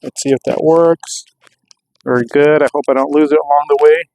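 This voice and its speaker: A man's voice: on the high side, fairly even intonation, moderate pace, vocal fry, a little mellow, poor audio. An American man talking casually and off the cuff as a vlogger testing gear, rambling and thinking aloud. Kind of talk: casual unscripted vlog narration. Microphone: clip-on microphone.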